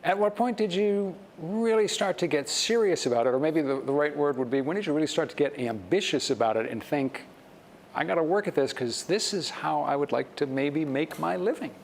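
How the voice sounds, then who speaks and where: A man working as a TV news interviewer, asking clear, measured questions and reading a short wrap-up. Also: on the high side, lively intonation, slight background noise.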